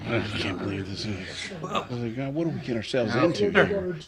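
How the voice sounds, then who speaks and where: A man playing an excitable, rattled cowboy from a lynch mob in a western radio drama, talking in an agitated, repetitive way. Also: medium pitch, normal speed, music in the background. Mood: dismayed.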